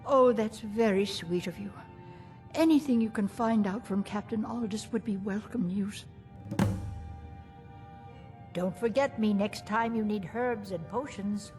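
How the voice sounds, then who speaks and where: A woman's voice: medium pitch, measured pace, long pauses, soft background music, natural voice. A woman playing a courteous, worried motherly herb shop keeper in a fantasy game. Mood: grateful. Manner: courteous, gentle, hopeful.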